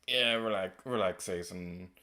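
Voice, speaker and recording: A man streaming a video game with a naturally quiet voice, chatting casually and a little self-deprecating as he plays. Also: low-pitched, unhurried. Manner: soft-spoken, rambling and self-deprecating. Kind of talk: casual gameplay commentary.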